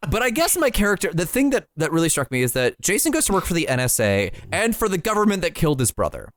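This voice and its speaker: Man, high voice, swooping intonation, exasperated comic rants, profane, casual podcast banter. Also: wildly swooping pitch, quick pace. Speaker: podcast co-host.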